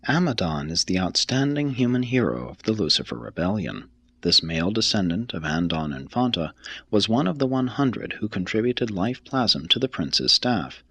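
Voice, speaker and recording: A male reader delivers formal religious narration in a measured, solemn way. His voice sits fairly low but moves widely in pitch across each phrase. It has a slightly rough, husky grain. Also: sharp tone, no echo. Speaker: audiobook reader. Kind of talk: scripted narration of a religious text.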